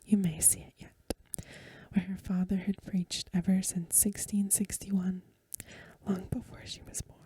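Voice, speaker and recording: A woman reading a story aloud in a soft, hushed, breathy ASMR whisper with gentle, measured phrasing. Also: mid-range voice, no echo. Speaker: ASMR reader.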